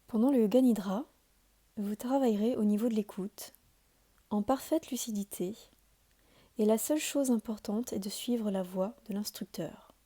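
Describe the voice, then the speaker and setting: Mellow-voiced woman guiding a calm meditation. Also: mid-range voice. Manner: calm, soothing, deliberate delivery with pauses. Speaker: yoga nidra instructor. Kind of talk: guided meditation, measured scripted instruction.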